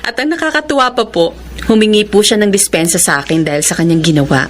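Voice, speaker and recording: A woman's voice: mid-range voice, fast talker, background noise, close to an everyday voice. A woman acting an emotional, earnest young wife and mother in a Filipino radio drama. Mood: relieved.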